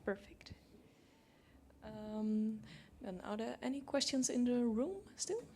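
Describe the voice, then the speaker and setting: A woman moderating a conference Q&A session, briskly reading out online questions and calling on the room. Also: on the high side, steady tone, warm tone, slight background noise. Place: conference hall.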